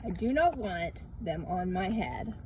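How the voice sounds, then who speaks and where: A woman voicing an exasperated male cartoon elephant, complaining in a dramatic, drawn-out way while reading a children's picture book. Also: lowish voice, lively intonation, mellow, slight background noise.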